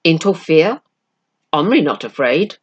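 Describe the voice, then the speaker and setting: Woman voicing a slow, proud warrior chief. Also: sits low, sing-song, husky, lo-fi sound, pitched below the performer's own voice, exaggerated voice. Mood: bitter, gruff. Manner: clipped broken English, slow and emphatic.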